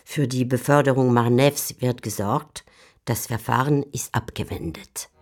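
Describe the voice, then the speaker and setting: A woman giving measured, composed scripted narration for a literary radio drama. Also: rumbling low, a little mellow, a little hiss or hum. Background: faint music.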